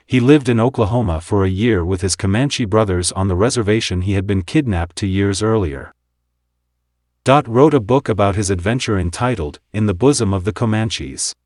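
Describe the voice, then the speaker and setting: Male history narrator, lowish register, scripted delivery, close dry sound with no room echo. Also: a little mellow.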